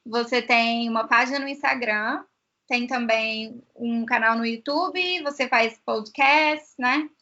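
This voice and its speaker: A woman's voice: on the high side, takes it slowly, sharp tone, lo-fi sound. A woman with a Brazilian accent chatting casually as a host. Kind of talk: casual chat.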